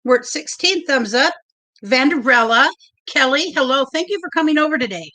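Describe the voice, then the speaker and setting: A woman hosting a casual livestream, chatty and a little nervous, with a loud, big voice. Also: high-pitched, expressive, normal speed, rough recording. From Oregon. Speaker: livestream host.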